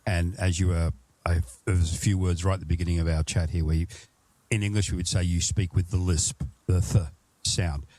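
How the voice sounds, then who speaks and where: Deep-voiced Australian man hosting. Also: flat delivery, fairly quick, dark tone, dry recording. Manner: rambling, restarts questions. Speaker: podcast host. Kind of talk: casual interview chat.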